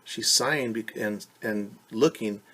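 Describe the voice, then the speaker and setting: Man, slightly raspy, unhurried pace, children's read-aloud lesson, simple patient explanations, questions to the listeners. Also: fairly low pitch, bright, forceful tone. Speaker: teacher reading a picture book to children.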